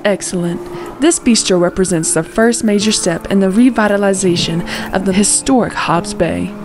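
A woman's voice: medium pitch, moderate pace, noisy background, natural voice. A woman playing a resolute state governor in an audio drama, speaking formally and with assurance. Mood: assured. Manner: formal, assured politician's talk. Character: poised, resolute female state governor and mother.

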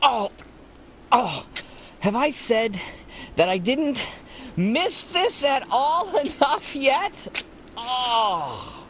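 A man's voice: very high voice, sing-song, very slow, pauses often, fairly bright tone, exaggerated voice. Audio drama host role: male actor as wry, bewildered adventurer thinking aloud, swooping delivery, slight background noise. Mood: wry, puzzled. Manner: wry, talking to self. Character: flustered adventurer thinking aloud after escape. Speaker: actor hosting in character.

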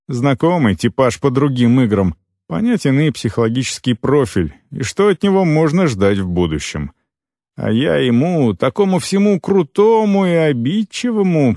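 A man's voice: on the high side, a little mellow, no echo, studio quality. A man narrating first-person fiction as an audiobook, in a conversational, wry storytelling manner.

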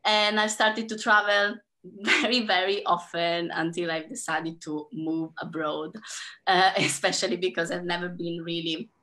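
Bright-voiced Italian woman on a video call. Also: fairly low pitch, little pitch movement, measured pace. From Italy. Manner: chatty, many fillers, laughs between phrases. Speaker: panel guest, dance educator. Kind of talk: casual video-call introduction. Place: home room. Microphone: laptop webcam mic on video call.

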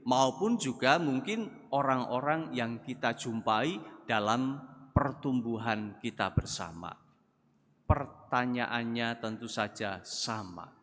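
An older man, a priest, giving a slow, conversational sermon at a pulpit microphone, with sudden emphatic rises. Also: medium pitch, sharp tone. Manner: measured, conversational preaching with rising emphatic bursts. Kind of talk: sermon at a thanksgiving Mass. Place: large church, at a pulpit. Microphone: gooseneck pulpit mic. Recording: long church reverb.

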